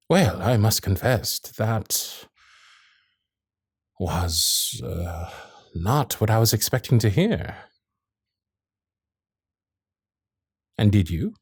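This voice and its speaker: Victorian gentleman suitor, male actor, genteel and flirtatious period diction, lively intonation, intimate dry sound for romantic audio drama. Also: mid-range voice, breathy edge, dry recording, exaggerated voice. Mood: taken aback. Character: courtly, infatuated Victorian gentleman suitor, protective and witty. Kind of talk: ASMR romantic roleplay, period piece acting. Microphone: close studio microphone.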